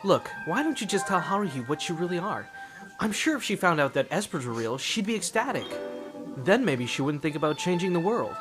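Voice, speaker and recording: A man's voice: fairly high pitch, big pitch swings, a little fast. A man voicing a skeptical, exasperated, sarcastic teenage schoolboy in an amateur anime fan dub, grumbling and questioning. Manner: dry, grumbling, doubting, questioning. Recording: loud music under the voice.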